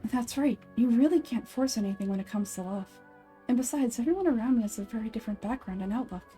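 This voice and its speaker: A woman's voice: on the high side, fast talker, mellow, soft background music, close to an everyday voice. A woman voicing an earnest, lovelorn young working woman in a game, confiding and conversational. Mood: resigned.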